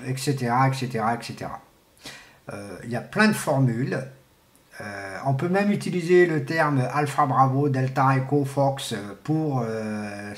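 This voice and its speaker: Middle aged guy explaining his hobby off the cuff, halting n chatty, repeats stuff, roomy echo. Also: mid-range voice. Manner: halting, colloquial, repeats himself for emphasis. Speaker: amateur CB radio enthusiast presenting. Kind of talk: informal unscripted explainer to camera. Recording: echoey, roomy sound.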